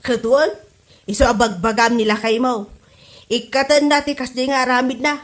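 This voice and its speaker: A woman's voice: a little high, fairly even intonation, moderate pace, close to an everyday voice. A woman playing a gossipy, disapproving older neighbour in a comedy audio drama, with an Ilocano Filipino accent.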